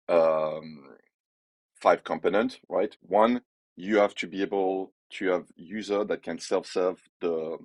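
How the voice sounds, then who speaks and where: A man with a French accent and a low voice speaks in a dry, close recording. He gives firm opinions in a casual, rambling way, filling his sentences with "like" and "you know". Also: darkish tone, no echo. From France. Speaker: podcast guest, head of growth. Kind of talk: casual business conversation.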